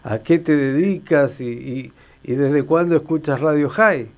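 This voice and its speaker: A man's voice: a little high, unhurried. A man with an Argentine Spanish accent hosting a radio interview, playful and chatty, tossing in bits of English and German. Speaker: radio host.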